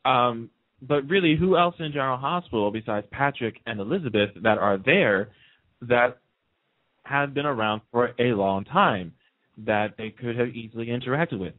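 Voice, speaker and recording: A man's voice: mid-range voice, normal speed, dry recording, phone-quality sound. A man speaks over a thin, band-limited phone connection with very little room sound. He chats casually as a call-in guest. His delivery is emphatic and opinionated, and he repeats phrases to press his point. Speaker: call-in guest on a radio show. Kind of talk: casual soap opera fan discussion.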